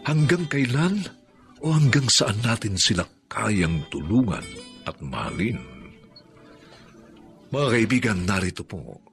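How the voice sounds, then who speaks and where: A male radio drama narrator speaks in a solemn, theatrical style. His voice is on the low side and very slow, with weighty pauses and broad swings of pitch over faint music. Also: sing-song, vocal fry, a bit bright.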